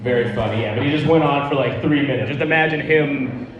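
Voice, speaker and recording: A man's voice: medium pitch, fairly even intonation, fast, heavy reverb, muffled recording, a crowd in the background. A man doing a stand-up comedy set in a rambling, profane, conversational way in a live venue. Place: live comedy show, on stage.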